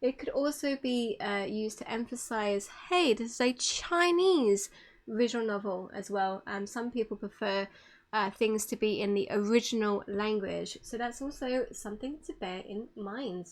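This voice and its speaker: A woman's voice: mid-range voice, unhurried. A woman presenting informally online, explaining things in a slightly hesitant way.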